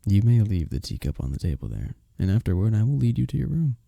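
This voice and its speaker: A man playing a courteous, smitten young village innkeeper in an intimate romantic fantasy roleplay, speaking softly and breathily with formal, bashful phrasing. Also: on the low side, very animated, quick pace, vocal fry, dry recording, studio quality, exaggerated voice. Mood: gently attentive.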